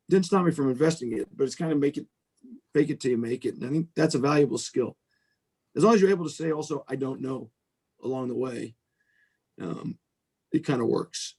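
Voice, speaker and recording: A man's voice: mid-range voice, darkish tone, slightly rough. A middle-aged man with an American accent speaks informally and discursively as a guest on a video call, with some self-deprecation. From United States. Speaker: venture capitalist, guest speaker.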